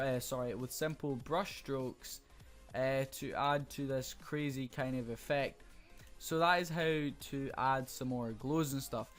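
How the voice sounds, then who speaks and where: Slightly hoarse young man explaining casually. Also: a little high. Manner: casual, explanatory, many "uh" fillers. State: slightly hoarse, losing his voice. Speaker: graphics tutorial creator. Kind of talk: screen-recorded software tutorial.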